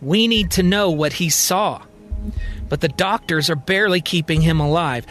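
A man's voice: on the high side, normal speed, a bit bright, faint music behind, lightly acted. A man voicing a grave, insistent President of the United States in a storytelling science-fiction podcast. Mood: grave, explaining.

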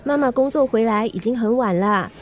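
A woman's voice: on the high side, brisk, lightly acted. A woman acting in a radio drama as a cheeky, impatient young daughter. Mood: patient, concerned.

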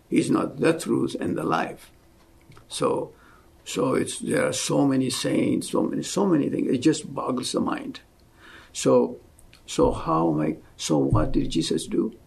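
A man in his seventies from Ethiopia, with an Ethiopian accent, giving an earnest, fervent faith testimony as an interview guest and often dropping to a soft hush. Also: medium pitch, gravelly, breathy edge. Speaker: guest, missionary.

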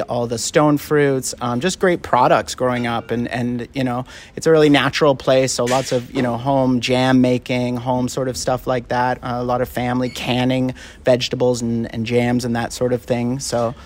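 A man from Canada, a chef being interviewed on radio, speaking in a relaxed way with many hesitations. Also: mid-range voice, a little hiss or hum. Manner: relaxed, halting with many fillers.

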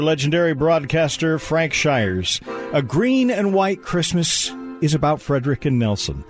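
Formal male radio announcer over music. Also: a little high. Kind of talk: scripted radio drama announcing.

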